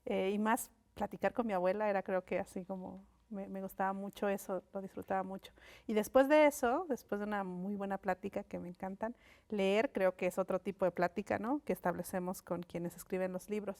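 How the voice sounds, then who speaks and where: A woman from Mexico, a native Mixe speaker, talks as a studio interview guest. Her voice sits in a middle register, and she builds her points slowly, stopping for fillers and restarting phrases as she thinks aloud. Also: mid-range voice, fairly even intonation, a little mellow. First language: Mixe (Ayuujk). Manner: reflective, frequent fillers and restarts. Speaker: guest, linguist and writer. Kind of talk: thoughtful conversational interview. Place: TV studio set.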